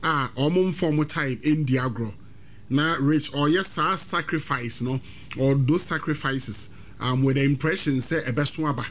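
Ghanaian male pundit, a bit high-pitched, faint background hiss. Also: a little slow, a bit bright. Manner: mixes Twi and English, thoughtful. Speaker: sports pundit. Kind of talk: casual sports chat.

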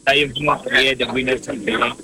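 Male interviewee, very sharp and husky tone, halting speech, radio sound with a hum. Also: medium pitch, fairly even intonation. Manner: halting, searching for words. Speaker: interviewee, elections committee member. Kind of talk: on-the-spot interview answers.

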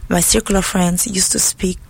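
A woman's voice: lowish voice, fairly even intonation, moderate pace, gravelly, slight background noise, poor audio. A woman with Italian as her first language and a Nigerian-tinged English accent, a guest musician chatting playfully and confidently in an interview, laughing often. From Italy. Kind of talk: casual interview conversation.

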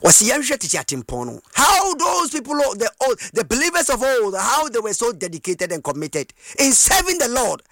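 A very high-pitched man with a Ghanaian accent delivers an impassioned sermon with a bright, forceful edge. His pitch moves in lively swings as he repeats phrases, throws out rhetorical questions and breaks into shouts or chant. He is a native Twi speaker and preaches with heavy emphasis. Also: moderate pace, hardly any pauses.

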